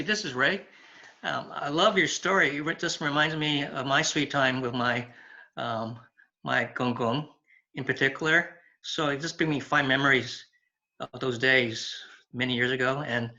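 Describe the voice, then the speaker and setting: Bright-toned man on muffled call audio. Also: medium pitch. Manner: warm, halting, complimentary. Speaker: audience member. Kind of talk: casual compliment. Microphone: video call.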